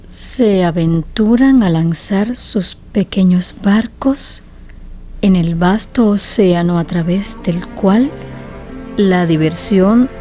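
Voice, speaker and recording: Mellow-voiced lady novelist. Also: lowish voice. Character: thoughtful, candid Georgian woman novelist. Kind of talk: radio drama acting.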